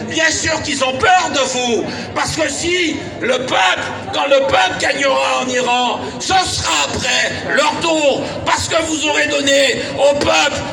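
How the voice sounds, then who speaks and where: A man giving an impassioned political speech at a rally, forcefully shouting and repeating phrases for emphasis. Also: very high voice, unhurried, creaky, hardly any pauses. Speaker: guest speaker at a rally. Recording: echoey outdoor PA, distant, crowd around.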